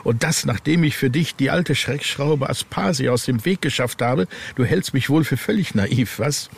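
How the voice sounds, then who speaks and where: A man's voice: mid-range voice, animated, normal speed, creaky, slightly rough. A man reading the part of an arrogant, scheming young witch from a horror novel. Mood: arrogant, cheeky. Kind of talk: read-aloud horror novel dialogue.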